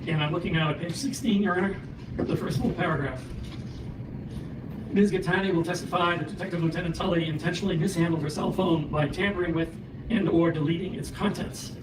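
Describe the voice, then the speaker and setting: A man arguing formally before a judge, voice going hoarse, speaking in measured phrases with many hesitations and throat clearing. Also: high voice, steady tone, background noise. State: losing his voice. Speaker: special prosecutor arguing in court. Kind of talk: formal courtroom argument. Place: courtroom. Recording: roomy courtroom audio from a distant mic.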